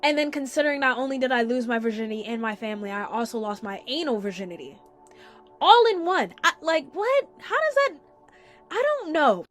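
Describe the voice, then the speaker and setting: A woman's voice: high-pitched, lively intonation, a little fast, a bit bright, soft background music. A young woman hosting a candid storytelling podcast, sounding congested and chatting casually with occasional swearing. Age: young adult. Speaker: podcast host.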